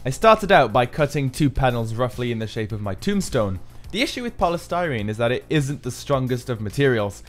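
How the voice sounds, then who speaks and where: A man's voice: mid-range voice, very animated, a little fast, few pauses, faint music behind. A man giving an upbeat, explanatory voice-over for a build tutorial, as the maker himself. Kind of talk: scripted tutorial narration.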